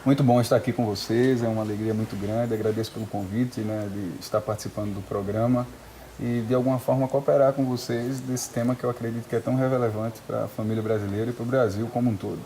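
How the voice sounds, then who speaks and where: A man with a Northeastern Brazilian accent speaks as a psychologist guest on a studio talk show. His voice is mellow and his explanations are measured, studded with little filler tags. Faint noise sits underneath. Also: mid-range voice, little pitch movement, rough recording. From Pernambuco, Brazil.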